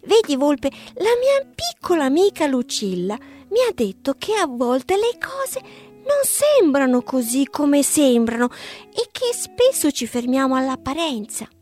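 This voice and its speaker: Woman doing a gentle lonely young bear. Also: high voice, very animated, a little slow, faint music behind, voice pushed up. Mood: earnest.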